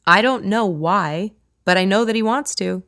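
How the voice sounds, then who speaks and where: A woman's voice: mid-range voice, moderate pace, a bit bright, no room sound, studio quality, lightly acted. A woman reading a sly, teasing girlfriend. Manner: playful, goading.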